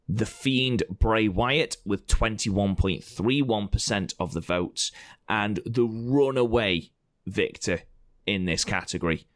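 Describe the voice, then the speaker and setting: A man co-hosting a podcast, hoarse with a croaky throat, blunt and opinionated and swearing freely as he argues his picks. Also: fairly low pitch, fairly bright tone, no echo, clean studio sound. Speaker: podcast co-host presenting award results. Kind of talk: casual banter.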